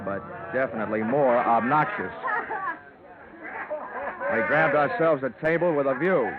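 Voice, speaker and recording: An actor playing a wry, hard-boiled insurance investigator, deadpan and wisecracking, in an old-time radio detective drama. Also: male voice, mid-range voice, close to an everyday voice. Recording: tinny vintage radio recording.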